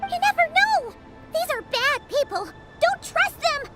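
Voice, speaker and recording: Squeaky, tiny game fairy. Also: female voice, sing-song, measured pace, full character voice. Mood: alarmed. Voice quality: squeaky, childlike. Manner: fretful, exclamatory. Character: tiny, excitable floating fairy companion, childlike. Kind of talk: video game voice acting. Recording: loud music behind the voice.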